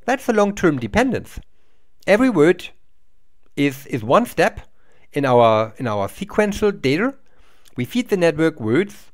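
Man, middle-aged, university lecture, lively pitch, explanatory, small room. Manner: explanatory, repeats and restarts words. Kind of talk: recorded lecture over slides.

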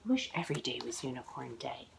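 A woman in her forties reading a children's picture book aloud, expressive and exclaiming, drawing out words for young listeners. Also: a bass voice, fast talker, gravelly, slight background noise. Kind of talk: animated children's read-aloud storytelling. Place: living room at home, indoors.

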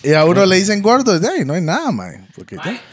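Costa Rican man, podcast co-host, slightly high voice, teasing banter, handheld mic. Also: wildly swooping pitch, fast talker, husky. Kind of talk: casual chat. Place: studio set like a living room.

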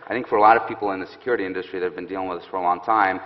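A man in his thirties, a security expert on a stage panel, explaining in a quick, assured way. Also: low-pitched, fairly even intonation. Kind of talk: panel interview answer. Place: stage set with audience, indoors. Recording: muffled hall with long reverb.

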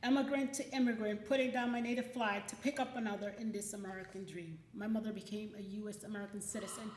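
A woman's voice: mid-range voice, steady tone, fast. A middle-aged woman hosting and reading at a poetry event, speaking emphatically into a stand microphone indoors. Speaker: poetry event host and poet. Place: indoor library event room. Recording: roomy, echoey event space.

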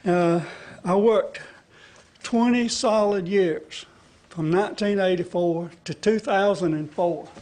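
High-pitched elderly man testifying. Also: a little mellow. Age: older, 70s or above. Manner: folksy, rambling, checks listeners with frequent "okay?". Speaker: citizen activist testifying to a legislative committee. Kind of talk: extemporaneous public testimony. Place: large indoor hearing room with seated audience. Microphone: gooseneck podium microphone.

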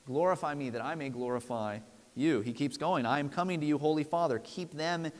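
A man in his thirties preaching a sermon from a church pulpit in an earnest, emphatic, deliberate way. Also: a little high. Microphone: lapel mic. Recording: long hall reverb.

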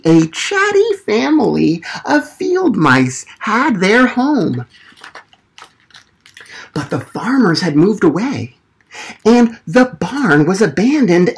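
A man in his thirties hosting storytime and reading picture books in an encouraging, expressive way to young children, recorded on a camera mic in a small room. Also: sits high. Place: small decorated indoor room. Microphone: camera a short distance away. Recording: roomy echo from a camera mic.

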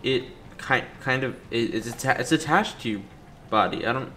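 A man's voice: mid-range voice, moderate pace, bright, forceful tone, a little hiss or hum. A man giving casual, joking playthrough commentary, reacting to characters and reading captions aloud as host. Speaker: gaming video host. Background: video game music and sound.

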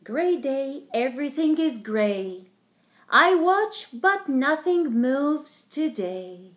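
A middle-aged woman reading a picture book aloud to young children in a theatrical, deliberate way, as a storytime host. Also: sits high, big pitch swings, fairly bright tone. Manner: theatrical, slow and deliberate, addressing small children. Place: indoor wood-panelled living room. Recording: roomy, echoing home recording.

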